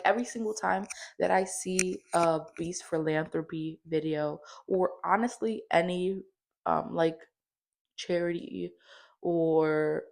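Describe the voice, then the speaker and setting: Chatty candid woman in her twenties. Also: lowish voice. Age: 20s. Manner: chatty, candid, lots of fillers like "like" and "you know". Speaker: vlogger. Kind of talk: casual personal vlog.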